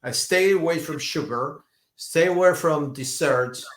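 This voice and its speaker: A man in his fifties, a doctor being interviewed, telling stories in a lively way, often restarting and repeating words. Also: on the high side, a little slow, echoey room. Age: 50s. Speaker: functional medicine doctor, guest. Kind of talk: conversational podcast interview.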